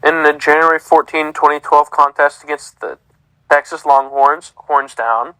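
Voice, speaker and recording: A man's voice: a little high, normal speed, pushed and bright, clear, resonant tone, no room sound, rough recording. A man, co-hosting a casual sports podcast in an easygoing way, reading stats aloud. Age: 30s. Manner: easygoing, reads out lists. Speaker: podcast co-host.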